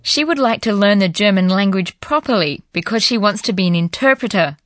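A woman narrating a language course in a measured, explanatory way. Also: mid-range voice, expressive, fairly bright tone, dry recording. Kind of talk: scripted narration.

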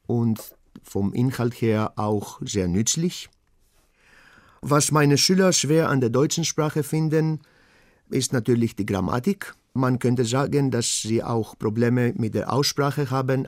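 A middle-aged man from Greece, a German teacher, speaking German with a Greek accent and pausing often with fillers as he talks about himself. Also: medium pitch, a little mellow, no room sound, studio quality. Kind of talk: personal self-portrait talk.

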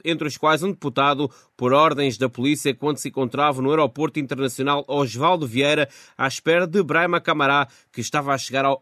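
A man's voice: mid-range voice, fairly bright tone, no echo. A man presenting scripted radio news as host, speaking into a studio microphone.

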